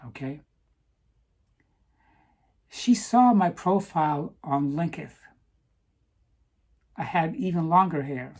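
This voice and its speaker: A woman talking earnestly to camera in a personal vlog, stopping to ask "okay?" for emphasis, with some halting restarts. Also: low voice, expressive, a little mellow. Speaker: vlogger.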